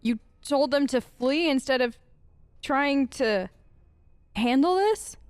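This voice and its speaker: A woman's voice: high-pitched, lively intonation, takes it slowly, no echo. A woman doing casual, improvised role-play commentary as host of a game let's play, informal and outspoken.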